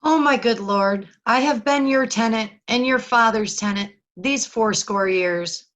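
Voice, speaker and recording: A faithful, humble old man in a Shakespearean play, voiced by a woman. The voice sits a little high. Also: a little slow, fairly bright tone. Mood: concerned, humble. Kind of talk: Shakespearean readers' theatre.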